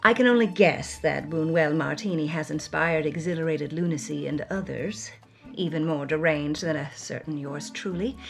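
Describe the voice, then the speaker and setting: A woman's voice: lowish voice, fairly bright tone. Woman acting a melodramatic, flamboyant film actress. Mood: dramatic, confiding. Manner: melodramatic, florid, emphatic. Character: flamboyant, excitable female film actress reading her journal.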